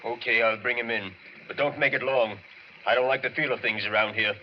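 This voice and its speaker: A man's voice: mid-range voice, fairly even intonation, a little fast, close to an everyday voice. Man playing a terse asylum attendant, muffled. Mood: uneasy. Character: uneasy, plainspoken male asylum attendant. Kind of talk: old-time radio suspense acting. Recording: muffled, noisy vintage radio recording.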